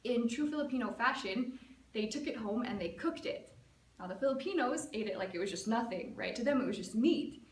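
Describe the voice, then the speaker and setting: A young woman from the United States gives a storytelling speech with a somewhat high voice, chatting casually and dropping in plenty of "like" and "um". She sounds a little distant from the mic. The room adds a clear echo. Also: expressive, brisk. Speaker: student giving a speech. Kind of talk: prepared personal storytelling speech. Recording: echoey room, mic at a distance, faint ambience.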